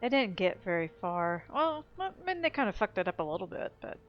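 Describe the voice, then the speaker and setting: A woman's voice: mid-range voice, sing-song, fairly quick. A woman giving casual gameplay commentary with playful, slangy, informal asides. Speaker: game streamer. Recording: dull lo-fi mic over game music. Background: faint game music.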